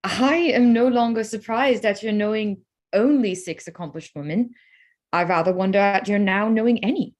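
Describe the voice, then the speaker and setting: A woman's voice: mid-range voice, normal speed, natural voice. A woman playing a witty, teasing, spirited young lady in a period drama reading, dry, playful and composed. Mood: pointed.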